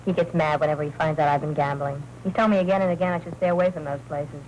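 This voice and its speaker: Actress doing sly young american noir dame, lowish voice, coy n teasing, hissy old 40s movie sound. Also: female voice, fairly even intonation, rapid-fire, darkish tone, highs cut off, natural voice. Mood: coy, smooth. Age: young adult. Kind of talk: 1940s film noir acting. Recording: noisy 1940s film soundtrack.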